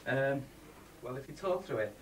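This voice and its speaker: A man giving a rambling, half-scripted amateur presentation, halting and matter-of-fact with blunt asides. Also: mid-range voice, lively intonation. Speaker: presenter of a homemade design project video. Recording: distant mic, faint background noise, amateur home video.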